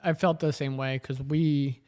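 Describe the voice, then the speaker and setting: A man's voice: mid-range voice, flat delivery, a little slow, darkish tone. A man in his thirties co-hosting a podcast, talking in an easygoing way and asking questions. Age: 30s. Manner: easygoing, laughs often, asks questions. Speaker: podcast co-host. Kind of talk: casual chat.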